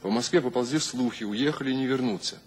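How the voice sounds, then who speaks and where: An actor playing a reflective, literate poet in a radio drama, speaking in a measured way. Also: male voice, mid-range voice, slight background noise, natural voice. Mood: narrating. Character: thoughtful, admiring male poet of revolutionary Russia. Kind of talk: radio drama acting with narration.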